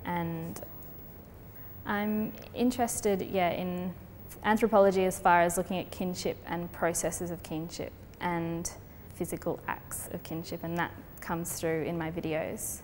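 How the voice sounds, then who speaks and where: A young Colombian-born woman, explaining her artwork in a steady, thoughtful way with frequent fillers. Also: lowish voice, unhurried. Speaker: artist. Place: gallery space. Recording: long reverb with faint background noise.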